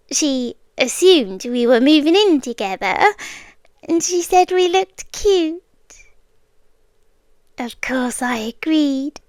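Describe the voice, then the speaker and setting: A British woman playing an obsessive, possessive young girlfriend in an intimate ASMR roleplay, soft and deliberate, sweetly menacing, slipping into whispers. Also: sits high, no room sound, full character voice. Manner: slow, deliberate, coaxing, with pauses and whispered words. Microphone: close, dry microphone.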